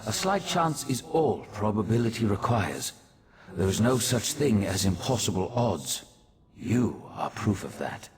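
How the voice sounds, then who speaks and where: Low-voiced composed sage in a reverberant hall. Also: male voice. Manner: formal, deliberate, philosophical. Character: cerebral, composed wise male sage. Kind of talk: video game character dialogue.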